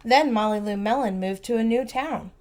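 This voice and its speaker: A woman reading a storybook aloud to young children, expressive and emphatic, with simple asides and questions for the listeners. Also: a little high. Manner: expressive, slow emphatic phrasing, simple child-directed comments. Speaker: preschool teacher reading aloud.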